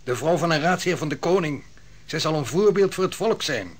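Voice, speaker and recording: A cool, officious court steward. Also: male voice, on the high side, lively intonation, brisk. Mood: formal. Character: stiff, officious royal steward. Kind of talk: vintage radio drama acting.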